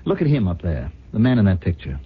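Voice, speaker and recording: An actor playing an ambitious, suave husband of about forty who runs a factory, in a husky voice and 1970s radio drama style. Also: male voice, deep voice, sing-song, fast talker, heavily acted. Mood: intense, confiding. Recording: muffled, phone-like old radio recording.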